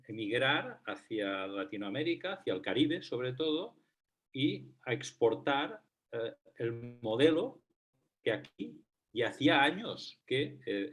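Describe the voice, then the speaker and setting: A man with a Catalan accent, a researcher speaking as a debate guest, hesitant with many fillers and restarts. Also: fairly low pitch. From Catalonia, Spain. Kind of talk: debate talk.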